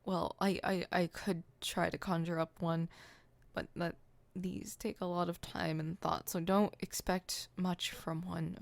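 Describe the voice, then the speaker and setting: A woman's voice: on the low side, level tone, a little slow, creaky, no room sound. A woman playing a theatrical, poetic supervillain in an ASMR roleplay, haughty and dramatic, stammering when flustered. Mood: hesitant, bashful.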